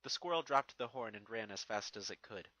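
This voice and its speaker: A man's voice: lowish voice, lively intonation, a little fast, pushed and bright, no echo. A man reading a scripted story as its narrator. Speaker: fan fiction narrator.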